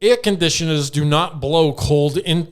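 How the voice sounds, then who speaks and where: A man's voice: fairly high pitch, a little slow, clean tone. A man co-hosting a podcast in casual, joking chat, tossing in flippant remarks. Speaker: podcast host.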